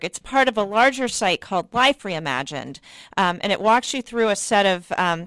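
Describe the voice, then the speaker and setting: A woman's voice: medium pitch, fairly bright tone, clean tone, no room sound. A woman, a state director of an advocacy group, explaining programs as a radio guest in an earnest way with many ums.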